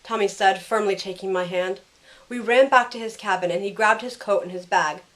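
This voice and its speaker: A woman acts out a caring young heroine telling her own story in an audio drama. It is scripted first-person narration. Her voice has a medium pitch. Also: moderate pace, natural voice, poor audio. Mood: tense narration.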